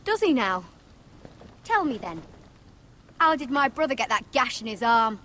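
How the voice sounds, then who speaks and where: A young wildling woman has a high voice and a commanding edge. She is blunt, suspicious and protective, delivered as dramatic fantasy game acting. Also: very animated, unhurried, exaggerated voice. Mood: scornful, challenging. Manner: sharp-tongued, commanding. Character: blunt, suspicious, protective free-folk sister.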